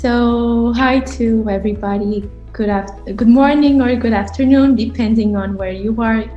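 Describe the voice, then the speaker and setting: Roomy-sounding Portuguese woman lecturing, haltingly. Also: on the high side, highs cut off, faint music behind. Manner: halting, with frequent hesitations and repeated words. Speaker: university professor giving a conference presentation. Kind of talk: academic lecture.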